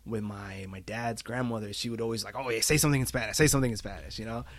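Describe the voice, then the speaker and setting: A man's voice: on the low side, fast, dry recording. American guy talking opinions on a podcast, close dry sound. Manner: rambling, emphatic, often says "you know" and "like". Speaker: podcast speaker. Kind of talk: casual podcast discussion, opinionated.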